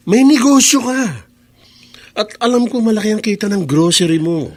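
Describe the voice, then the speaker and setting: A man's voice: sits high, lively intonation, measured pace, heavily acted. A man playing a sly, idle gambler and extortionist in a radio drama, mocking and oily. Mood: smug, taunting. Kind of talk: Tagalog radio drama acting.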